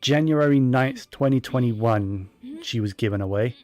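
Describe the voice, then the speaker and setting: A man's voice: mid-range voice, moderate pace, a little mellow, dry recording, faint music behind. A man giving casual, rambling commentary while playing a video game, with plenty of fillers and restarts. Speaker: gaming streamer.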